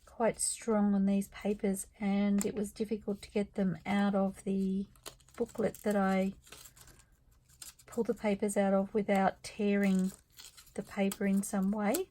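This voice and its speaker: A woman losing her voice, talking quietly and thinking aloud as she works on a craft project in her studio. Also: medium pitch, little pitch movement, unhurried, rough recording. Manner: quiet, murmured asides to herself while working. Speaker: craft vlogger. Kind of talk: soft-spoken craft-along commentary, thinking aloud.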